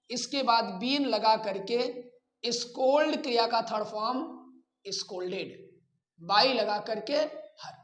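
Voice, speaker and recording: A man teaching a grammar lesson, explaining step by step in a deliberate, repetitive way. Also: extremely high pitch, fairly bright tone. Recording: echoey room.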